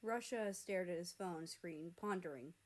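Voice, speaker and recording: A woman reading fiction aloud as a narrator, in an expressive scripted storytelling style. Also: lowish voice, measured pace. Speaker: fan fiction reader and narrator.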